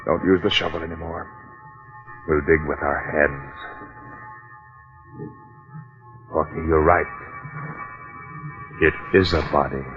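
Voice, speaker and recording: An actor playing a determined, suspicious police detective in old-time radio drama, speaking in a clipped, commanding way. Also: male voice, very deep voice, fairly even intonation, a little slow, pauses often, highs cut off, over music. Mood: tense, hushed.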